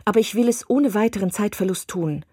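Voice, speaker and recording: A woman's voice: mid-range voice, fairly quick, dark tone, slightly rough, no room sound, studio quality, close to an everyday voice. A woman voicing a spirited young woman who firmly and precisely refuses a suitor, in an audiobook. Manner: firm, exasperated, precise. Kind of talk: audiobook reading of a classic novel.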